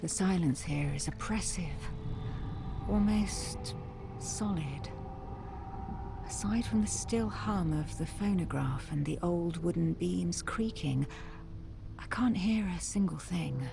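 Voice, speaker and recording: A woman playing an uneasy, introspective therapist in a brooding, measured video game monologue. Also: fairly low pitch, vocal fry, background noise, exaggerated voice.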